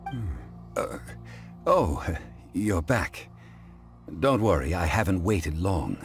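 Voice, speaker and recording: An actor playing a calm, courteous, scholarly gentleman in a video game, speaking in a measured, formal, contemplative way. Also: sits low, soft background music, full character voice. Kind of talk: English video game voice acting.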